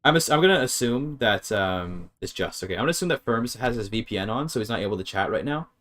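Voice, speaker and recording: A man's voice: on the low side, expressive, fast, a bit bright. A man casually streaming a game, thinking aloud as he reads his chat and works through grammar. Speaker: streamer learning a language. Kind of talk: casual live-stream commentary.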